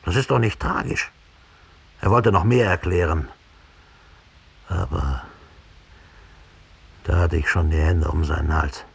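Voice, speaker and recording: An actor playing a cold, embittered middle-aged German killer who slowly and broodingly confesses in a 1960s radio play. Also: male voice, sits low, creaky, husky, heavily acted. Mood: grimly reliving. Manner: slow, deliberate, brooding storytelling.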